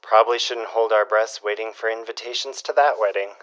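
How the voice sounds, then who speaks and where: Slightly muffled sound on a lowish-pitched, folksy man played by an actor in a period audio drama. He is dry and nosy, full of old-fashioned expressions. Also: steady tone, fairly quick, a bit bright, natural voice. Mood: surprised, prying. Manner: inquisitive, dry, old-fashioned turns of phrase. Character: curious, folksy old-fashioned gossip partner, dryly exclaiming.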